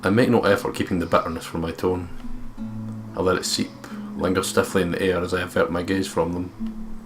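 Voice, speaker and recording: A low-pitched man from the UK hosts a let's play, reading story text aloud and chatting in asides. Clear game music plays behind his voice. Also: flat delivery, a little fast. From United Kingdom.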